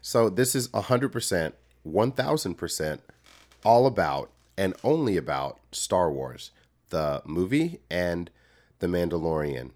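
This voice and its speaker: A man co-hosting a podcast in casual chat, hoarse from being sick, playful and rambling. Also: low voice, lively intonation, measured pace, darkish tone. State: hoarse from illness. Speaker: podcast co-host.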